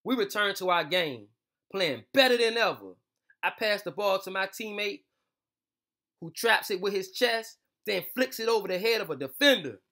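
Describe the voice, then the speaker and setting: Young guy hosting story time for kids, high bright voice, talks real informal. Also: expressive, normal speed. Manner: informal, slangy, addressing young listeners directly. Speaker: host of a children's read-aloud show. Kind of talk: casual intro and storybook read-aloud. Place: small room indoors.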